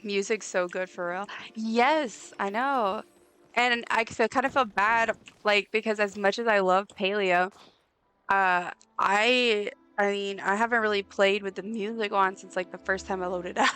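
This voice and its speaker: A woman with a raspy voice chatting casually while streaming a game, rambling with lots of ums and likes. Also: mid-range voice, steady tone, unhurried. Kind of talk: casual live gameplay chat. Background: soft game music.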